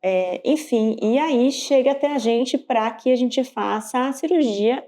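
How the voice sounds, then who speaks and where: A woman's voice: on the high side, a little slow, mellow. A Brazilian woman co-hosting a podcast, explaining things conversationally as a health professional and often adding small check-in tags. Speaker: podcast co-host and pediatric dentistry professional.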